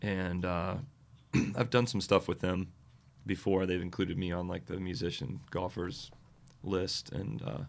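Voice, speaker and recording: Deep gravelly man, tired scratchy voice. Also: little pitch movement. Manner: rambling, halting with many fillers. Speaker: touring musician guest. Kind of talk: casual interview chat.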